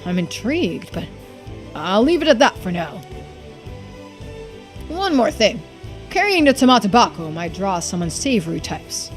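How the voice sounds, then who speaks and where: A woman's voice: mid-range voice, big pitch swings, measured pace, long pauses, faint music behind. Woman voicing a formal, knowing game character. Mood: intrigued, cautioning. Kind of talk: voiced game dialogue.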